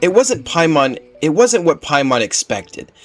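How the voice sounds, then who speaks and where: A man's voice: fairly high pitch, very animated, unhurried, faint music behind. A man voicing a tiny, chatty, childish female fairy companion from a video game, curious and inquisitive. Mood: curious, puzzled. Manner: talks of self in third person, inquisitive.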